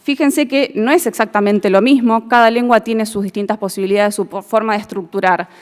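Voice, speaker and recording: A woman linguist gives a formal, measured explanatory talk at a Senate hearing. She often checks that her listeners follow her. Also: mid-range voice, fairly even intonation, smooth. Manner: measured and didactic, checks understanding with a questioning "¿sí?". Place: large legislative hall, indoors. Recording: long reverb of a large hall.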